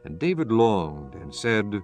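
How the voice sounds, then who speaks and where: Low-voiced male scripture narrator. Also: sing-song, a little mellow. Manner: measured, formal. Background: faint music.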